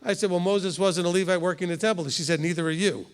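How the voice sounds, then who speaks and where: A man's voice: sits high, fast, long reverb tail. A man in his fifties, a rabbi leading a congregation's Torah study in a conversational way, often checking in with "okay?". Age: 50s. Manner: conversational, keeps asking "okay?". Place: congregation hall.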